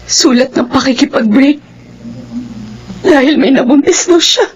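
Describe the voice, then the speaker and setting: A woman's voice: sits high, unhurried, muffled recording. Earnest jilted woman voiced by an actress, faint noise. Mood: bitter. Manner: earnest, emotional. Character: bitter, earnest jilted former fiancée. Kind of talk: melodramatic radio drama acting in Tagalog.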